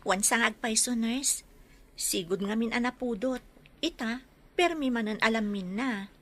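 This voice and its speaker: A woman's voice: on the high side, moderate pace, lightly acted. A woman playing a dutiful, polite young village daughter in a radio drama, with a Filipino accent.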